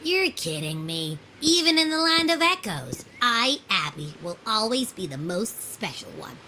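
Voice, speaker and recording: A woman voicing a small, proud, chatty creature companion in a video game, with a boastful, animated manner. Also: mid-range voice, unhurried, a little hiss or hum, heavily acted. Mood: cheeky, proud.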